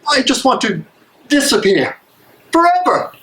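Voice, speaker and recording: An actor playing an anguished, disillusioned German soldier and prison guard, emotional and sarcastic, in an echoey play reading. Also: male voice, very high voice, very animated, a little slow, a bit bright, full character voice. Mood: despairing. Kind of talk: dramatic reading of a stage play. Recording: echoey room, live reading.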